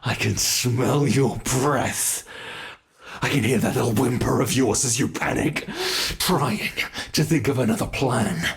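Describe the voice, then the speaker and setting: A British man voicing an ancient, cruel male monster that hunts humans, slow and relishing, in an ASMR horror roleplay. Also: medium pitch, gravelly. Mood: taunting. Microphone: close mic.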